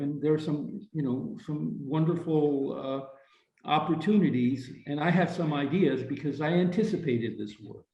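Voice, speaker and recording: Older man, higher-pitched, on roomy call. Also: darkish tone. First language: Ukrainian. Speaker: workshop participant. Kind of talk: informal spoken comment. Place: indoor room on a video call. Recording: dull, roomy video-call audio.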